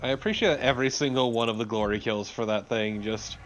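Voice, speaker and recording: Average pitched guy joking around over a game, pretty noisy mic. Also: quick pace, a bit bright. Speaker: let's play co-commentator. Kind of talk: casual chat over gameplay. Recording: clearly noisy background.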